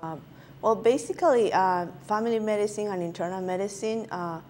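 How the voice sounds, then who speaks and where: A woman from Peru, answering interview questions as a guest physician in a halting way with many filler sounds. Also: medium pitch, darkish tone, slight background noise.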